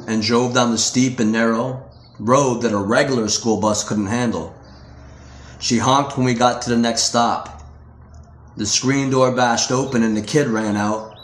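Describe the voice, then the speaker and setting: A man narrates a novel as its author, reading from the script. His voice sits at an average pitch, and the recording has a clear roomy echo.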